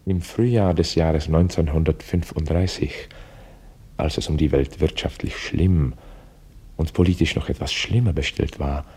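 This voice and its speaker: A reflective novelist narrates in a very low, husky voice with a dark, mellow tone. The delivery is measured and deliberate, as scripted radio drama storytelling. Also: male voice, exaggerated voice. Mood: reflective, concerned. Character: worried, reflective male novelist telling his story. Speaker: author-narrator.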